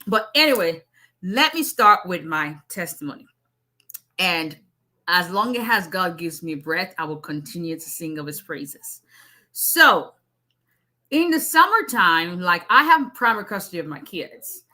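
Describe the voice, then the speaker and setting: Middle-aged woman with a Nigerian-Southern US accent, a lawyer on a casual live stream: bright, punchy tone, fast and chatty. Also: lowish voice, big pitch swings. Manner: fast, chatty, with frequent asides and laughs.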